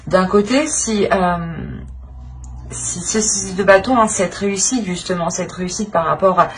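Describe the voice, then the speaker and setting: Low-pitched woman reading tarot, noisy recording. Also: unhurried. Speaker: tarot reader, channel host. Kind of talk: improvised tarot reading addressed to the viewer.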